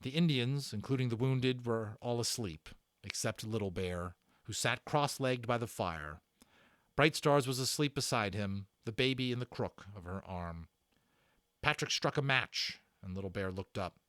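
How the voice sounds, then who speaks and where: A man giving an expressive, dramatic read-aloud of a children's book as narrator. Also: medium pitch, normal speed, no room sound, clean studio sound. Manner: expressive, performed reading. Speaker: narrator and voice actor.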